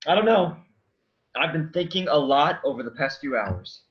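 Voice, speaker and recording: A man's voice: on the high side, expressive, normal speed, muffled recording, lightly acted. An actor playing a weary, brooding, sardonic man in a staged play reading. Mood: wary, dry.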